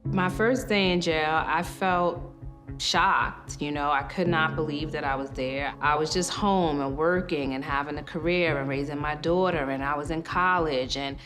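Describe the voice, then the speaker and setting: A woman's voice: low-pitched, steady tone, normal speed, a bit bright. A woman from Queens, New York, speaking earnestly and candidly in a documentary interview. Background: music.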